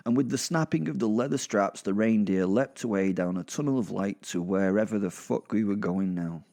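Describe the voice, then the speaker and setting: A man's voice: fairly low pitch, normal speed, warm tone. A man with a British accent narrating a horror audio drama in the first person, chatty and wry with sweary asides. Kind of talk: first-person conversational storytelling.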